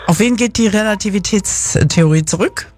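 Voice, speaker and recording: A woman's voice: medium pitch, moderate pace, a little mellow, faint music behind. A woman hosting a live radio quiz, chatting informally and encouragingly with short interjections. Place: radio studio.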